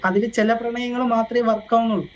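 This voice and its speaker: A man's voice: very high voice, steady tone, fast, highs cut off. A man with a north Kerala accent chatting casually as a player in an online roleplay game. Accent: north Kerala Malayalam. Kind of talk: casual in-game chat, giving advice. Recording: noisy game voice chat.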